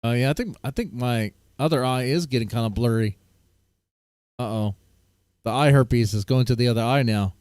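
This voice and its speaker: A laid-back man hosting a livestream, casually greeting viewers and chatting with his panel. He sounds close and dry on a desk mic, at an ordinary middle pitch. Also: moderate pace, darkish tone, no echo. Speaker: livestream host.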